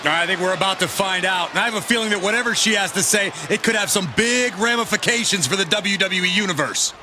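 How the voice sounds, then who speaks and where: A man's voice: high voice, fast talker, over music, close to an everyday voice. A man playing a dramatic wrestling commentator in a video game, building suspense in his commentary. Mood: portentous.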